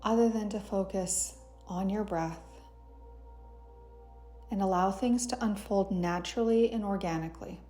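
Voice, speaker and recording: A woman yoga teacher leads a guided meditation at a very slow pace. Her voice is mellow and relaxed, with a gentle, soothing delivery. Music plays behind her as she gives unhurried instructions. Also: mid-range voice.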